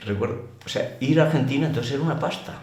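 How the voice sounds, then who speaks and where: A man's voice: mid-range voice, fast, darkish tone. Older Spanish man telling stories, roomy echo. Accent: Spanish from Spain. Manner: chatty, colloquial, exclamatory. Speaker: former motorcycle racer, interview guest. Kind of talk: casual anecdotal storytelling. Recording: roomy echo with clear background noise.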